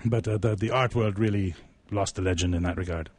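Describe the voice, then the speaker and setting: A man's voice: on the low side, brisk, a little mellow, husky, no echo. Man in a dry, close studio sound. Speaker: poet and radio theatre co-host. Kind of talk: casual reminiscing and dramatic poetry recital.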